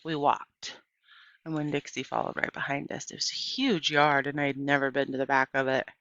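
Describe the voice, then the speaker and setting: A woman's voice: rumbling low, fairly even intonation, brisk, fairly bright tone, no echo. A woman reading a first-person storybook aloud as scripted narration, on a dry, slightly muffled recording. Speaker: audiobook reader.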